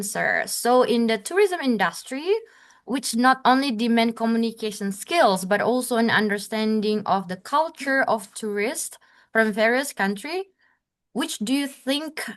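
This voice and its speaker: Woman moderator reading questions aloud. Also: on the high side. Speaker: webinar moderator.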